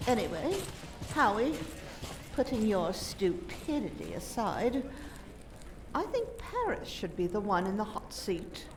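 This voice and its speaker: A woman's voice: mid-range voice, a little hiss or hum. A woman acting in a radio play as an indignant, haughty murder suspect, emphatic and melodramatic.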